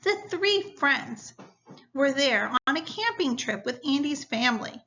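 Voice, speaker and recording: A woman teacher reading a story aloud to students in a deliberate, instructional way. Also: high-pitched, very animated, sharp tone. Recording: dull, lo-fi sound.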